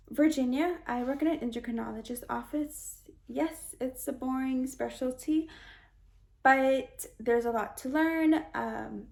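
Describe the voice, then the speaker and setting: A young woman from Hawaii giving an earnest, reflective self-introduction for a class, with small restarts and soft laughs. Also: a little high. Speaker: student introducing herself.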